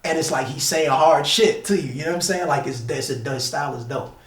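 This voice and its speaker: A man's voice: fairly high pitch, brisk. A man from the United States telling a story casually as an interview guest, with slangy, emphatic and repetitive phrasing. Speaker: interview guest, battle rapper. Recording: echoey room, distant mic.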